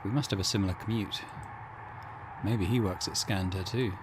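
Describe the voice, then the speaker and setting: A man's voice: on the low side, normal speed, background noise. A man hosting a gaming channel, giving casual let's play commentary and reading game text aloud.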